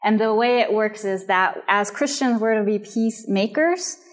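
Woman lecturing on counseling, earnest and measured, mid pitch, explains things step by step. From Japanese and American background. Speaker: biblical counseling teacher. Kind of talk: recorded lecture.

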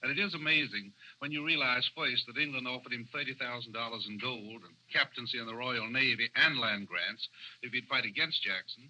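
A man's voice: medium pitch, a little fast, pushed and bright, dull audio. A man speaking as a guest historian in a scripted 1930s radio interview. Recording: vintage 1930s radio broadcast recording.

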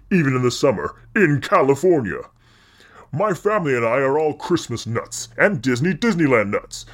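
A man's voice: mid-range voice, close to an everyday voice. A man voicing an enthusiastic male listener reading out his fan letter. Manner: upbeat, enthusiastic.

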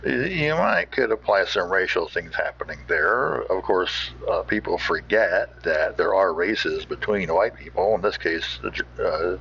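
An American man hosting with casual, opinionated commentary in a folksy, halting, rambling way. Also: low voice, lively intonation, gravelly, sharp tone, highs cut off, slight background noise. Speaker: host.

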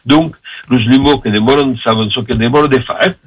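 A man with an Occitan accent from southwest France explaining the origin of family names on the radio, slowly, with many pauses and fillers. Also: mid-range voice, husky, rough recording. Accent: Occitan-speaking southwest France.

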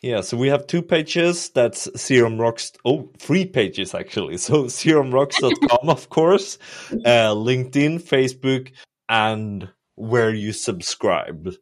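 A man's voice: medium pitch, lively intonation, slow pace. A man with a Swedish accent hosting a podcast, easygoing and agreeable in casual chat. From Sweden. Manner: easygoing, agreeable, many yeahs. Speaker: podcast host.

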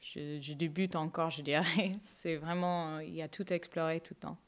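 A woman from Canada, a flamenco dancer, answering radio interview questions softly with many hesitations. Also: low-pitched, level tone, moderate pace. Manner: soft-spoken, many hesitations, light laughs.

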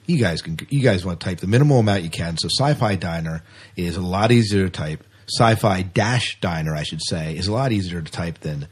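A man's voice: deep voice, a little fast, slightly rough. A man co-hosting a podcast in casual, chatty banter, with a jokey way of talking. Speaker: podcast co-host.

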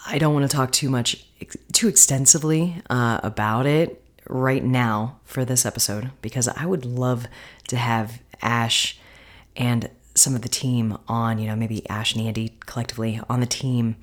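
A woman hosting her podcast, talking casually and encouragingly with a husky voice gone hoarse from singing. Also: fairly even intonation, moderate pace. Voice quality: husky, deeper than usual. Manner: chatty, encouraging. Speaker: podcast host. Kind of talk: casual solo podcast talk.

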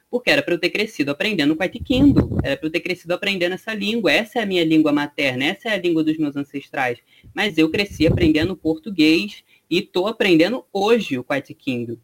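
A man's voice: on the high side, slight background noise. A man in his twenties from Brazil, giving earnest interview testimony and building his points through repetition. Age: 20s.

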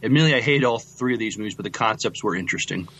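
A man co-hosting a casual sci-fi podcast in a low-key, somewhat hesitant way. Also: very fast, bright, forceful tone, breathy edge, rough recording. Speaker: podcast co-host.

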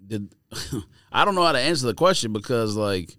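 A man's voice: medium pitch, expressive, normal speed, pushed and bright, dry recording. A man taking part in a casual, joking podcast conversation, speaking close to a studio microphone. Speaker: podcast panelist. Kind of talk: casual chat.

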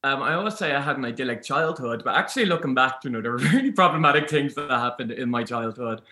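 A young man tells his story candidly on a live podcast. His voice is bright and lively, rising and falling a lot. There is a roomy echo around him. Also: mid-range voice, fast talker. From Ireland. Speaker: podcast guest.

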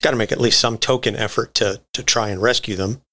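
A man's voice: lowish voice, steady tone, fast, pushed and bright. A man chatting casually as a podcast panelist, explaining his take in colloquial language. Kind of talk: casual chat, reviewing a cartoon.

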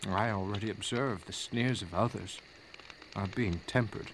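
An actor playing a proud Union officer, giving a measured, emphatic dramatic reading of a battle report. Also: male voice, fairly low pitch, lightly acted. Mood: admiring. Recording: clear background noise.

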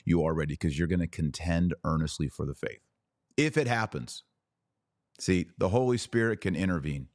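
A man's voice: sits low, normal speed, no room sound, studio quality. A man hosting a religious commentary podcast, speaking in an emphatic, preachy way with short punchy phrases and questions put straight to his listeners. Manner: rhetorical questions to listeners, acting out imagined quotes. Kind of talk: impassioned religious commentary to a live audience.

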